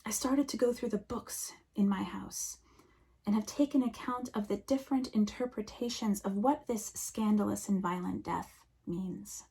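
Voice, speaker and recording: A woman minister giving a reflective sermon, speaking slowly and softly, often dropping to a near whisper. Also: medium pitch, vocal fry, slightly rough, low-quality recording.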